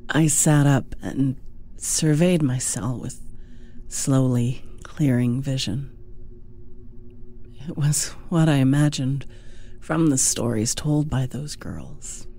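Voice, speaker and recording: Frightened captive village girl, performed by a female narrator, low and breathy, slow hushed horror storytelling. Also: faint music behind, exaggerated voice. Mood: fearful, hushed. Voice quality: breathy, often sinking to a whisper. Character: frightened young village wife held captive, narrating her ordeal. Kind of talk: dramatic audiobook horror narration.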